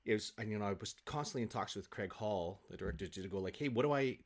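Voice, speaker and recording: Man, interview guest, animated intonation, excitable, rambling, repeats himself, casual chat. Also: lowish voice, quick pace. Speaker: guest, stage actor.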